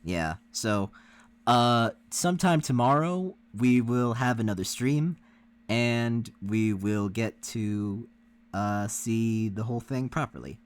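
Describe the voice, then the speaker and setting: A man's voice: medium pitch, slow. A man co-hosting a reaction livestream, chatting casually with the viewers. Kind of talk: casual chat with stream viewers.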